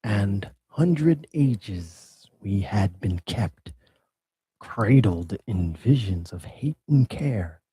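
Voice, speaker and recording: A chorus of spirits risen from the deep, murmuring in a slow, breathy whisper, voiced by a man. the tone is low and dark. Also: expressive, dry recording, exaggerated voice.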